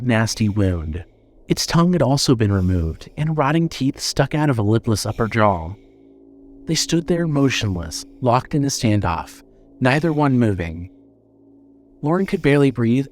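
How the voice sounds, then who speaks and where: Male horror audiobook narrator, dramatic and suspenseful, with a widely moving, expressive pitch. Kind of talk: scripted horror fiction narration.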